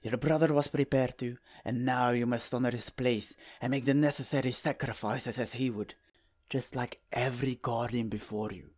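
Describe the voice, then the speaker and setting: A man voicing a serious, honorable snake warrior mentor in a cartoon, speaking in a formal, measured way. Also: medium pitch, steady tone, a little mellow, lightly acted. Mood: solemn. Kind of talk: animated series character acting.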